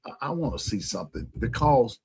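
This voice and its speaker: A man's voice: medium pitch, lively intonation, brisk, darkish tone, breathy edge. A man co-hosting casual sports talk, starting his phrases hesitantly and repeating their openings. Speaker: sports talk show co-host. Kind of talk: casual live sports chat.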